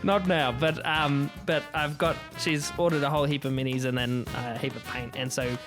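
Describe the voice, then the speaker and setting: A man's voice: medium pitch, level tone, normal speed, over music. A man chatting casually on a podcast in a hesitant, stop-and-start way. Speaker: podcast panelist.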